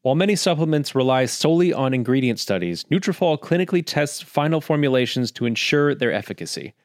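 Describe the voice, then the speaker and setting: A man of average pitch reads scripted ad copy in a crisp, even voice-over style. The recording is dry, with no room echo. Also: quick pace. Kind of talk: scripted advertisement.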